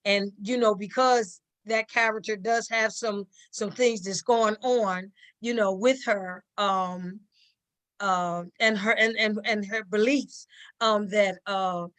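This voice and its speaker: A woman's voice: medium pitch, steady tone, slow, fairly bright tone. A woman speaking as a guest in a casual conversation, modest and deferential, repeating words for emphasis. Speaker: stage play cast member, guest.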